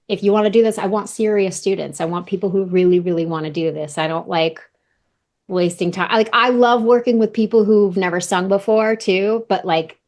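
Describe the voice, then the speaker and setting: Chatty woman with a gravelly low voice. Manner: chatty, lots of "like" and repeated words. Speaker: vocal coach, interview guest. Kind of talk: casual interview chat.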